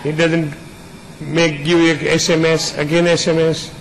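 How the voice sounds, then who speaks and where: A man's voice: fairly high pitch, little pitch movement, unhurried, slight background noise, low-quality recording. A man teaching a spiritual discourse in an earnest, plain way, building long repeated lists and simple images.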